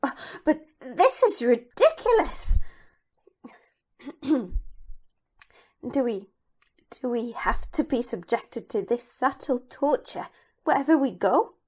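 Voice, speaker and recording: A woman's voice: high voice, very animated, slow, long pauses, muffled recording, heavily acted. A woman playing a rich, anxious young wife in an audio play, speaking in a proper, imperious manner. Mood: indignant, flustered. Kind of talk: amateur audio play acting.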